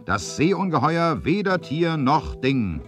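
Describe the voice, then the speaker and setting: A man as an excited, high-pitched crying newspaper seller. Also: takes it slowly, faint music behind. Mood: excited, drawn out. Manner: loud, declamatory street crying.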